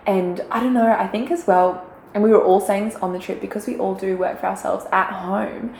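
A young woman co-hosting a casual chat, her voice croaky as she talks chattily with lots of fillers and giggles. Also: mid-range voice, steady tone, a little fast, darkish tone. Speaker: podcast co-host. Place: quiet room, shared mic. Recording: echoey room, faint background noise.

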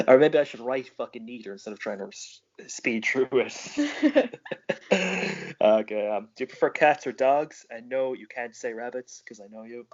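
A man's voice: medium pitch, very animated, measured pace. A man hosting a casual chat, asking questions while swearing constantly and teasing his guest. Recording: dull lo-fi recording.

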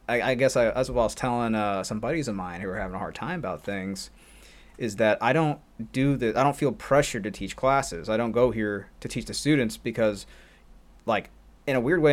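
A man who assists as a martial arts instructor, musing on a podcast in a rambling, reflective way. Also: mid-range voice. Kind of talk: casual podcast chat.

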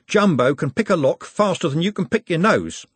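Man playing a slangy, high-pitched street lad. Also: lively intonation, normal speed, husky, no echo. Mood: nervous, hurried. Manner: slangy, blunt. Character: rough, good-natured, protective young working-class man.

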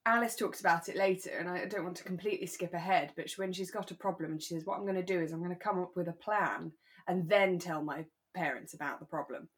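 Chatty British woman in relaxed conversation. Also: lowish voice, fast, hardly any pauses. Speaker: podcast co-host. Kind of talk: casual chat about a radio drama.

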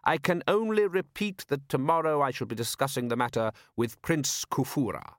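A man's voice: medium pitch, moderate pace, a bit bright, no echo, studio quality, pitched above the performer's own voice, close to an everyday voice. A man voicing a shrewd, coolly commanding city ruler in an audiobook, precise, formal and sardonic. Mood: formal, correcting. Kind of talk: audiobook character voice.

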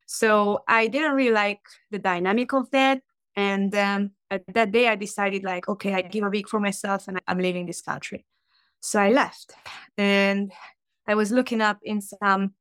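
A woman in her thirties with a Hungarian accent tells her story in a loose, chatty way, full of fillers and quick laughs. Her voice sits at a middle pitch. The sound is close and dry. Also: fairly bright tone, dry recording. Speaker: podcast guest, tattoo artist. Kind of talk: casual storytelling.

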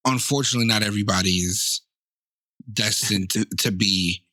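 A man with a lisp and a stutter talks in a relaxed, rambling way, stopping and starting as he leans into his points. His voice sits a little low yet sounds bright and forward. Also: normal speed, breathy edge. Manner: rambling, emphatic, fillers like "you know". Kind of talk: casual podcast chat.

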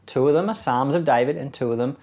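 A man's voice: medium pitch, fast talker, a little mellow. A man who is a pastor giving informal Bible commentary in a conversational, enthusiastic way, posing rhetorical questions. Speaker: pastor teaching a Bible podcast.

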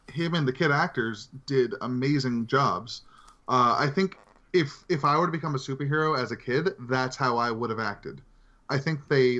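Male podcast panelist, mid-pitched, conversational, a slightly dull lo-fi recording. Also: sharp tone. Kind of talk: casual movie discussion.

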